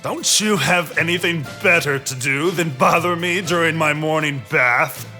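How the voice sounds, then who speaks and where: An actor playing an arrogant, mocking, stubborn Egyptian Pharaoh in a dramatized children's Bible story. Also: male voice, a little high, unhurried. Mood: irritated, haughty. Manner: haughty, scoffing. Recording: loud music behind the voice. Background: music.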